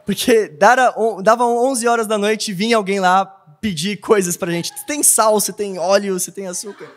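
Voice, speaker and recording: A man's voice: high voice, moderate pace. A young man from Brazil with a Brazilian Portuguese accent, preaching a sermon in a church hall, telling stories and often checking in with "não?". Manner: animated storytelling, asks "não?" often.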